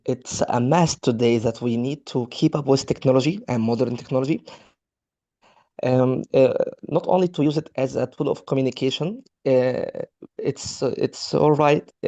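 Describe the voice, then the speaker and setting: A man from Egypt with an Egyptian accent and Arabic as his first language, earnestly practising spoken English in an online class, pausing for words. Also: mid-range voice, warm tone. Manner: earnest, searching for words with fillers. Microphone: video call. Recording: lo-fi video call audio.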